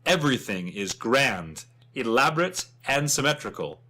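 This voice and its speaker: A man's voice: medium pitch, very animated, moderate pace, pushed and bright. A man playing a chatty, wryly humorous travel writer, narrating a fantasy guidebook with theatrical expression. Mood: enthusiastic, descriptive.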